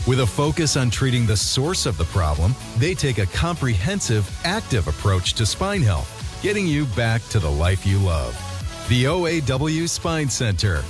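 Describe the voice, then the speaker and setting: A man's voice: mid-range voice, very animated, moderate pace. A man reading a scripted radio advertisement as announcer. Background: music bed.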